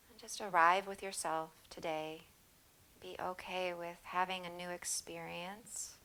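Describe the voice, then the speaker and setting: Yoga teacher, female, lowish voice, unhurried soothing guided instruction. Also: poor audio. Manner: slow, soothing, calm guiding cues.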